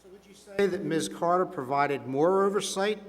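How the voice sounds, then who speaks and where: Man acting as higher-pitched formal questioner, echoing hall. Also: moderate pace, lightly acted. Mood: businesslike. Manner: formal, businesslike. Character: formal male board questioner at audit hearing. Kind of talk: amateur dramatization read on stage. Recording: echoey hall, long reverb.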